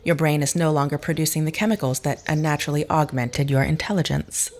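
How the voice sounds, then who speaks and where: A woman's voice: low-pitched, fairly even intonation, fast, a little mellow. An actress plays an aloof, dismissive healer in an audio drama. She speaks in formal, precise phrases with cold detachment.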